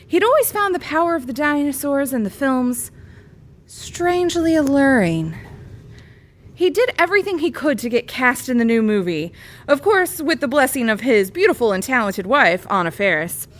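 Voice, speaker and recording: A woman's voice: sits high, very animated, normal speed. A woman reading a bawdy comic parody story aloud as a storyteller. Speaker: comedy storyteller.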